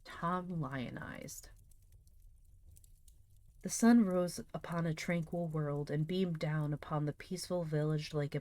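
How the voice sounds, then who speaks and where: A woman reading aloud and chatting on a livestream, expressive and enthusiastic in manner. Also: deep voice, dry recording. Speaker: streamer reading books aloud; teacher. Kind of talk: expressive audiobook-style reading with casual livestream chat.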